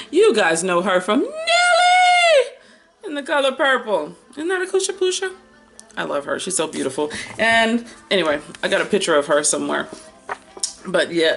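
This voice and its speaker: Bright-voiced American woman, casual and expressive. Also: on the low side. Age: early 50s. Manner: expressive, slangy, playful, rambling. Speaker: book vlogger. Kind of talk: casual chatty book haul and review.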